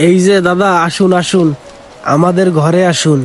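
A man voicing a kind, poor farmer who is a father and speaks gently and with care. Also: high-pitched, steady tone, normal speed, close to an everyday voice. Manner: gentle, caring.

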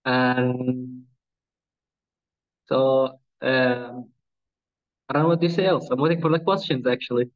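A man's voice: medium pitch, fairly even intonation, slow, pauses often. A man in his thirties from Palestine, Arabic his first language, answering interview questions in plain English with small slips, on a video call from a small room. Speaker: webinar guest. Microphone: laptop webcam on a video call. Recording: dull lo-fi video call audio.

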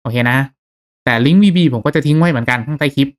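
A man narrating a step-by-step software tutorial in a casual, conversational, instructional way. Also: on the high side, fast. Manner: instructional, conversational with polite particles. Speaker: tutorial host.

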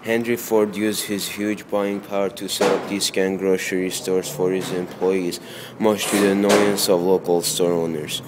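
A man reading a scripted radio economy report in a flat, even manner. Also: low-pitched, normal speed. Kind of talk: scripted narration, read aloud. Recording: clear humming background noise.

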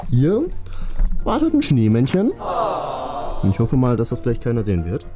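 A man's voice: medium pitch, huge pitch swings, unhurried, muffled recording. A man co-hosting from behind the camera in a small office, bantering casually and teasingly like a playful game-show presenter. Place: small indoor office studio. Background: clear background music.

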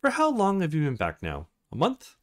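A man's voice: fairly high pitch, huge pitch swings, normal speed, pitched above the performer's own voice. A man voicing a confident, teasing young woman, a model in a visual novel, in a breezy, playful manner.

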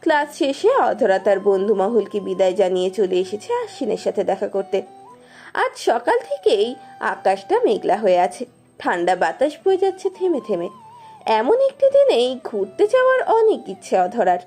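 A woman's voice: mid-range voice, big pitch swings, moderate pace. A woman narrating a romance story expressively from a script. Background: soft music.

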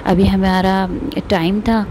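A woman's voice: medium pitch, level tone, a little slow, warm tone, a little hiss or hum. A woman, casually narrating her family outing for a vlog and recommending the place. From Pakistan. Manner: chatty, recommending, pious phrases. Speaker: vlogger.

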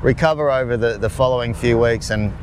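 A man's voice: medium pitch, fairly quick, slight background noise. A man, asking questions in a friendly, hesitant way, recorded outdoors on a golf course. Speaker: interviewer. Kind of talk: casual interview questions.

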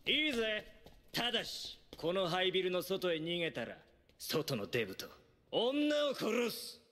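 An actor playing a cruel, cocky young male thug who taunts his opponent in rough, sneering speech. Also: high voice, sing-song, measured pace, full character voice. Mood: taunting. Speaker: villain. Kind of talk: anime dub acting. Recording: voice over loud background music.

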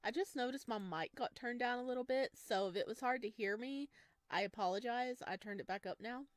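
A woman's voice: a little high, a little fast, no echo. Dry-sounding woman reading a visual novel. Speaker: gaming video host. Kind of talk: visual novel read-aloud with casual commentary.

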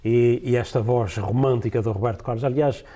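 A man's voice: medium pitch, fairly even intonation, brisk, dark tone. A man in his sixties from the Azores with a European Portuguese accent, talking as a guest in a TV studio, hesitant and full of fillers and restarts. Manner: hesitant, many fillers and restarts, laughs easily. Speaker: musician guest. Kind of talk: casual studio interview. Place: TV studio set, seated in a leather chair.

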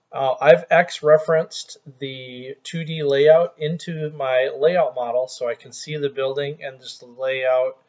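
A middle-aged man walks through a software tutorial from his desk. He talks into a headset mic in a relaxed, conversational tone at an ordinary pitch. The sound is a little dull and lo-fi. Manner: relaxed, conversational explaining with small restarts. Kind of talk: screen-recorded software tutorial.

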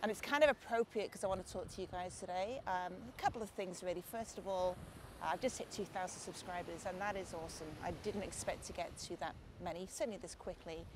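A woman's voice: fairly low pitch, fast talker. Chatty woman with a Lancashire lilt. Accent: Lancashire English, softened. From Lancashire, England. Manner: chatty, warm thanks, calls viewers guys and mate. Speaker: art channel host. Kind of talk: casual talk to camera. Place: outdoors on a beach at sunset. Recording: noisy outdoor camera audio.

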